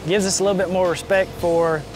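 Casting: man, 30s, slightly high voice, explaining officer's work in an interview over clear music. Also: level tone, fast talker. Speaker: game warden. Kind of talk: interview talk about outdoor work. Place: outdoors by a parked truck. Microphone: lapel mic. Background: music behind the voice.

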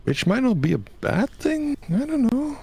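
A man chatting casually with friends while gaming, relaxed and soft-spoken, calling his own voice soothing. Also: very high-pitched, measured pace, gravelly, husky, no room sound. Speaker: streamer's guest.

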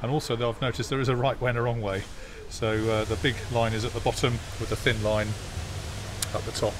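A man giving a relaxed, hesitant walk-around commentary in an outdoor car park, filmed on a phone. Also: mid-range voice, fast talker. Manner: relaxed, hesitant with many fillers. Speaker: classic car vlogger. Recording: outdoor phone video with clear background noise. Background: jet washer and outdoor noise.

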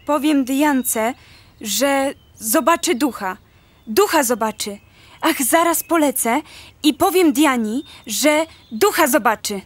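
An actress playing a naive, excitable young girl in a radio drama. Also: sits high, slow pace, no room sound. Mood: excited, plotting.

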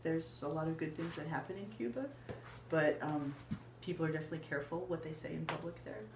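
An older woman calmly explaining her views in a casual conversation. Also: deep voice. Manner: measured, reflective, explaining. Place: small room indoors. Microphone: fixed camera, seated. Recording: roomy with faint background hiss.

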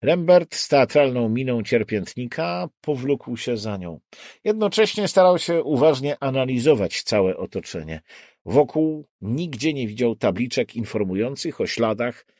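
A man narrates a crime novel. His mid-pitched voice moves through a lively range of intonation, and the recording is dry and close. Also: a little slow, no room sound. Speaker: audiobook narrator.

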